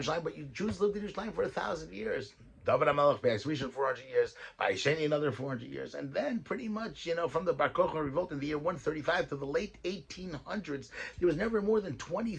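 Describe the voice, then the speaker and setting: A man, a rabbi, giving a weekly Torah talk, reading verses and explaining them in lively English. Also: a little high, vocal fry, slightly rough. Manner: mixes Hebrew verses with English explanation, animated.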